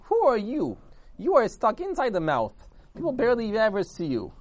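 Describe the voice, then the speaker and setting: Loud, boastful talking body part for a children's tale, performed by a man: high, scornful, swooping, spoiling for an argument. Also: huge pitch swings, normal speed, dry recording, heavily acted. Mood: mocking. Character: boastful indignant talking body parts, legs and hands, loud.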